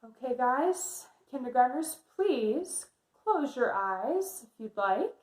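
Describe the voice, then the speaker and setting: In a roomy, echoing space, a woman speaks as a kindergarten teacher to young children. Her pace is slow and her pitch moves in an animated way. She is encouraging and checks in with her listeners often. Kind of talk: read-aloud lesson for young children. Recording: echoey room sound.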